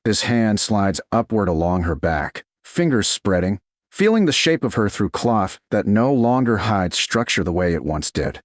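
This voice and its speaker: A man narrating a scripted romance story in a measured, deliberate, dramatic way, phrase by short phrase. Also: lowish voice, very animated, dry recording, studio quality. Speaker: audiobook narrator.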